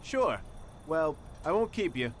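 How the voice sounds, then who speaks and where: A man's voice: on the high side, very animated, takes it slowly, slight background noise. A man playing a chummy, admiring mob helper in a crime video game.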